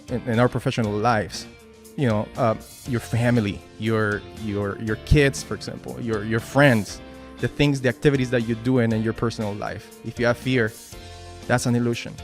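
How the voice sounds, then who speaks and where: A man with a Spanish accent giving a casual motivational talk at a team meeting, with frequent restarts and "right?" tags. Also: medium pitch.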